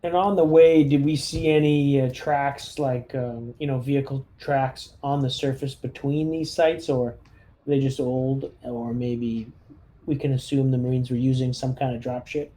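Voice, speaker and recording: A man plays a tabletop roleplaying game, speaking at a middling pitch with a dark, soft tone. His talk is casual and tentative as he thinks through each move aloud. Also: fairly even intonation, moderate pace.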